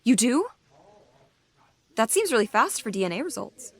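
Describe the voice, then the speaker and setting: An actress playing a steady, frank woman police captain with a dry manner, in an audio drama. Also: a little high, moderate pace, breathy edge, heavily acted. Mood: curious, concerned. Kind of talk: audio drama acting, naturalistic dialogue.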